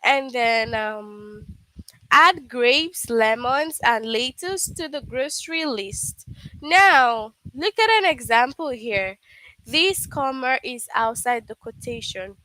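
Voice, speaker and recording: Bright high-voiced woman teaching slowly. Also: no echo, rough recording. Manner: patient, repeats "okay?" to check understanding. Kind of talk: live online grammar lesson.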